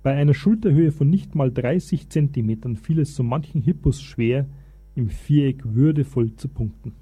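An actor playing an eager, pedantic Bavarian husband who lectures as a self-styled expert in a comic radio play. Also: male voice, mid-range voice, moderate pace, mellow, breathy edge, close to an everyday voice. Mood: mock-scholarly. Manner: pompous, long-winded.

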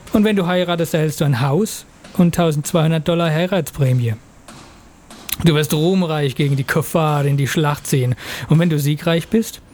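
A man's voice: a little high, darkish tone, close to an everyday voice. A man playing a fanatical Islamist recruiter in an audio drama, preaching in a solemn, declamatory way. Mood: solemn, promising. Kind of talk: scripted audio drama monologue.

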